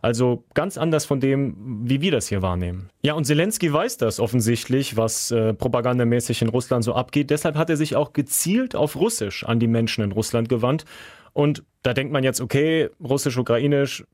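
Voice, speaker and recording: Guy co-hosting a podcast, calm plain explainer, dry close sound. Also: mid-range voice, a little fast, dry recording. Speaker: podcast co-host. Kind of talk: casual explanatory chat.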